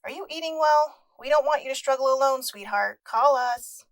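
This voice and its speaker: A fretting middle-aged mother in an audio drama phone message. Her voice is high and dryly recorded, worried and insistent toward her grown daughter. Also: normal speed, no echo.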